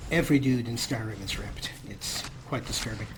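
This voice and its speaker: A man's voice: fairly low pitch, fairly quick. Man doing wry gameplay commentary over noise. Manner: wry, joking asides. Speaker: let's play host. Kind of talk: let's play commentary with role-played dialogue choices. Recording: clear background game ambience noise. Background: video game ambience.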